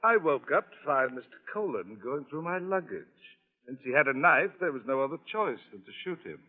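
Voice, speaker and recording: An actor playing a formal, courteous and composed gentleman in a 1940s radio drama. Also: medium pitch, big pitch swings, brisk. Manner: formal, polite. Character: courteous, composed foreign gentleman, expert with a pistol. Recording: tinny, reverberant old radio recording.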